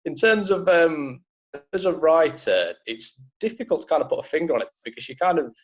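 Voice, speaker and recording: A man's voice: fairly high pitch, expressive, brisk, highs cut off, slight background noise. A man with a Liverpool accent, a football writer talking football in a casual podcast chat, rambling with many fillers.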